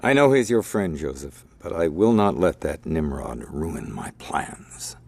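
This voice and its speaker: A man playing a sly, sneering crime boss in a video game, speaking with slow, mocking menace. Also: rumbling low, expressive, creaky, a little mellow, slightly rough, heavily acted. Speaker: gang's scheming partner. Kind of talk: video game cutscene acting.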